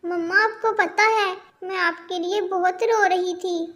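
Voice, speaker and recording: A woman's voice: extremely high pitch, fairly even intonation, a little slow, fairly bright tone. A woman voicing a small, frightened, tearful daughter bird in a Hindi cartoon, childlike and pleading.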